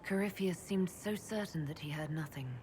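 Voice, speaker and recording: An actress playing a composed, inquisitive leader of an order in a video game, earnest and level-headed. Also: female voice, on the low side, moderate pace, a little mellow, slight background noise, close to an everyday voice. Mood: composed, curious.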